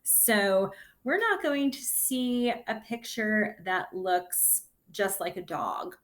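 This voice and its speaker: Woman, kindergarten teacher, read-aloud style, unhurried pace, very varied intonation, mid-pitched, short simple phrases. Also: expressive. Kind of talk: read-aloud lesson for young children.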